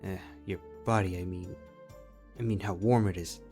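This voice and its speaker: A man's voice: sits low, steady tone, moderate pace, mellow, faint music behind, lightly acted. A man playing an awkward, flustered male dragon slayer who stammers and hesitates in an audio story. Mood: embarrassed.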